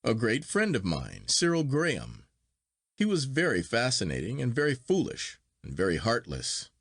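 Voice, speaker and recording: A man voicing a melancholy, reserved gentleman of forty in a storybook reading, reflective and quietly bitter. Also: mid-range voice, wildly swooping pitch, moderate pace, husky, no echo, studio quality, heavily acted. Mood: wistful, bitter. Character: melancholy, wistful forty-year-old gentleman friend, amused and reserved. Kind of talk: acted dialogue in storybook reading.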